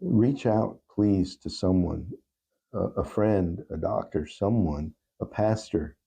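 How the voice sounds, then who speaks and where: A man speaking as a podcast guest in a reflective interview, gentle, reassuring and deliberate. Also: sits low, vocal fry, slightly rough.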